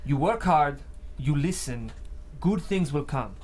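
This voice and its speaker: A man's voice: a little high, expressive, slow, a little mellow. Man playing stern older Russian émigré boss. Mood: stern, measured. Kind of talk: script table read acting.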